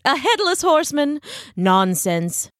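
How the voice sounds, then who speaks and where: A woman's voice: on the high side, expressive, moderate pace, no echo, natural voice. Pompous schoolmaster played by a female narrator, condescending and lecturing, storybook character, dry recording. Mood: scoffing. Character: pompous, condescending male schoolteacher courting a lady.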